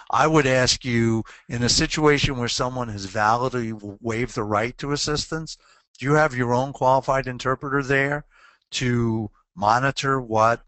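A man's voice: mid-range voice, fairly bright tone, dry recording. A man presenting a webinar on legal compliance, answering a question earnestly and posing rhetorical questions.

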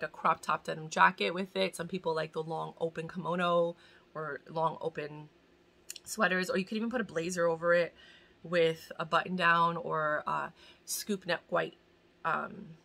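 A woman's voice: on the low side, flat delivery, normal speed, gravelly, pushed and bright. A woman in her forties chatting to camera from a cluttered home room, rambling and gushing about giveaway items while in pain. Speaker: fashion vlogger hosting a giveaway. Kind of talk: casual chat to camera. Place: small home room crowded with bags and boxes, indoors. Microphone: camera at desk distance.

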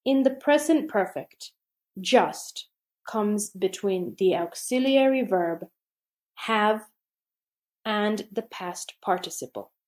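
A woman reading a scripted grammar lesson aloud, slowly and deliberately with pauses between phrases. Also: medium pitch, expressive, a little mellow. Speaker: English teacher.

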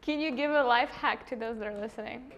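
Young woman interviewer, slightly high voice. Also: brisk. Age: young adult. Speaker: show host, interviewer. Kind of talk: casual interview questions. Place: indoor room, on a couch or chair.

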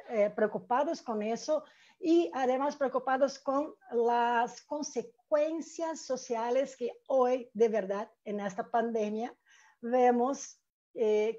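A middle-aged woman with a Brazilian accent, a native Portuguese speaker, presenting academically and haltingly on a dull-sounding video call. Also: fairly high pitch, mellow. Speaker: academic panelist, researcher. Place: room with bookshelves indoors. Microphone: earphone mic on video call.

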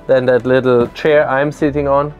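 A man's voice: medium pitch, little pitch movement, moderate pace, dull audio, soft background music. A man in his thirties giving a methodical walkthrough of a yacht, recorded on board with a lapel mic. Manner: explanatory, methodical, lists features. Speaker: boat presenter. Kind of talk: product walkthrough. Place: aboard a motor yacht on a lake, on deck and in cabins.

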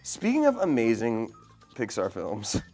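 A young man hosts a playful game show quiz. His pitch moves around a lot as he delivers questions in a dry, teasing way, with light music underneath. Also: wildly swooping pitch, a little slow, darkish tone. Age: young adult. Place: indoor studio set. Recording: music bed behind the voice.

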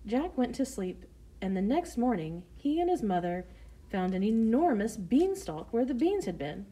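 A woman storyteller reading a scripted children's tale in measured phrases. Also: fairly high pitch, sing-song, dark tone, husky, poor audio.

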